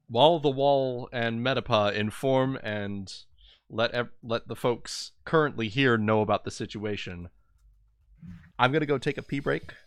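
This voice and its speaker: A man's voice: medium pitch, a bit bright, clean tone, dry recording, clean studio sound. A man chatting casually through a tabletop role-playing game, often laughing as he narrates.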